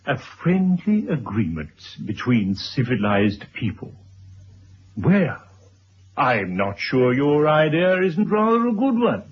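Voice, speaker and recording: An actor playing a calm, calculating older gentleman in a radio drama, speaking in a dry, measured, formal way. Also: on the high side, breathy edge, full character voice. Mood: dry, coolly ironic. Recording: dull, hissy old radio recording.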